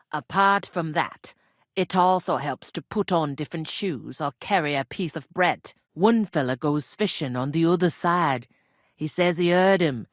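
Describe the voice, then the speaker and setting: A woman voicing a gruff, teasing medieval tavern innkeeper in a video game, with a blunt, folksy, scolding way of talking. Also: deep voice, no echo, studio quality. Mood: matter-of-fact. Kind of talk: scripted game character dialogue.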